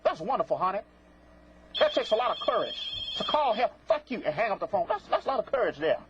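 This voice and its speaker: A man's voice: high-pitched, sing-song, fast talker. A man with a sassy, scolding, theatrical manner and folksy colloquial speech, hosting a live call-in show and repeating catchphrases. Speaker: host of a live phone-in psychic show. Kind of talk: live call-in TV talk with prank callers. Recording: muffled lo-fi broadcast with clear noise, clicks.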